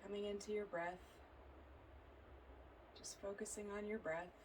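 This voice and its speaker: A woman teaches a yoga and meditation session with soothing, unhurried phrasing. Her medium-pitched voice stays very even, with little rise or fall. It is an amateur recording with faint noise behind her. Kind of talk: guided yoga and relaxation instruction.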